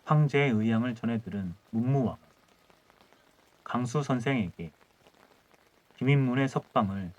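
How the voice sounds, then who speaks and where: A man's voice: medium pitch, moderate pace, pauses often, darkish tone, low-quality recording. A man narrating a scripted historical folktale as an audiobook storyteller.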